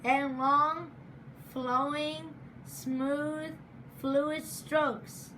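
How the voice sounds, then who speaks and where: Slow, bright-voiced woman who stutters. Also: high-pitched, little pitch movement, a little hiss or hum, poor audio. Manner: stutters with sound and word repetitions; deliberate, measured delivery. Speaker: program graduate giving a speech. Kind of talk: prepared speech.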